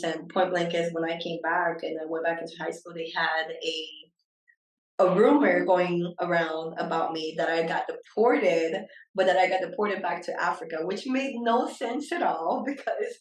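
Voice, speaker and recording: A woman's voice: fairly low pitch, reverberant, distant mic, low-quality recording. A young adult woman from Brazil, a podcast guest talking casually and filling her speech with "like". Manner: chatty, frequent filler "like". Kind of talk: casual conversation.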